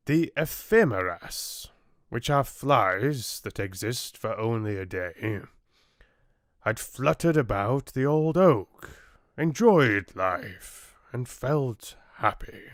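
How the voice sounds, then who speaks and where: A man voicing an ancient, solemn and gentle tree-giant who narrates a fairy tale audiobook in a deliberate, measured manner. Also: medium pitch, lively intonation, no echo, studio quality.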